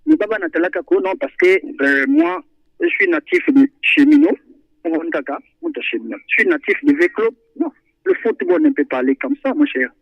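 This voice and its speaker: Guy calling into a radio show, lively up and down voice, keeps repeating himself, phone line sound. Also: very high voice, normal speed, gravelly, no echo. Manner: animated, repeats himself, frequent hesitations. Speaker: radio call-in listener. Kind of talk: phone-in football chat.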